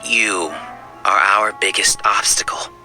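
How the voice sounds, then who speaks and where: An actor playing an arrogant, scheming researcher in a video game, speaking in a theatrical, condescending way. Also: male voice, lowish voice, steady tone, takes it slowly, pushed and bright, exaggerated voice, low-quality recording. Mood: gloating, grandiose. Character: arrogant, scheming male researcher leading a collective-mind hive. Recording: music behind the voice.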